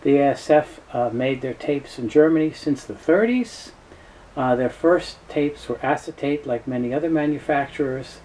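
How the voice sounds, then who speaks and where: A man with a soft, mellow voice and medium pitch presents a product review off the cuff. He pauses often and feels his way through the explanation. A light background noise sits under his voice. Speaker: presenter of a tape review video. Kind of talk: informal product explainer, unscripted.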